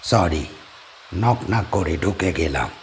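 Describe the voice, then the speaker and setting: Calm polite island host, slow low voice, faint hiss. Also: male voice. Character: middle-aged man D'Souza, calm island bungalow host.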